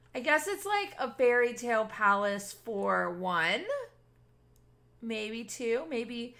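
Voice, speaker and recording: A woman hosting a gaming video with casual, gushing, expressive commentary aimed at her viewers. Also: fairly high pitch, gravelly.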